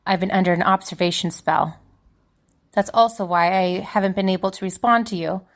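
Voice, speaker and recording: A woman's voice: mid-range voice, a little fast, close to an everyday voice. A woman playing an earnest, apologetic young spellcaster reading out her letter in an audio drama. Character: earnest young female spellcaster writing to a dear friend.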